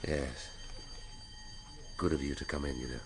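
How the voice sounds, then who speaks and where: Grumpy middle aged english detective, really deep voice, dry and sarcastic, radio play. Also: male voice, little pitch movement, measured pace, a little mellow, a little hiss or hum. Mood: dry, weary. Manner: dry, sardonic, testy. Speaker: actor in a radio drama. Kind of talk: British radio drama acting.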